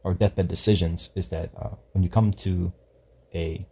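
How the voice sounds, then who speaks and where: Low husky guy rambling thru a faith chat, mellow sound, keeps restarting sentences. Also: fairly even intonation, moderate pace. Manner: rambling, self-correcting, laughs often. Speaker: podcast co-host.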